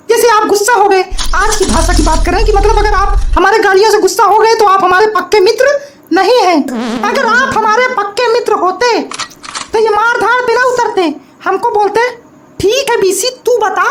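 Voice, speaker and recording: A man voicing a cheeky, mouthy male troublemaker in a comedy cartoon, talking in a teasing, colloquial way. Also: very high voice. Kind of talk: Hindi comedy cartoon dialogue. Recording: noisy cartoon audio with clicks.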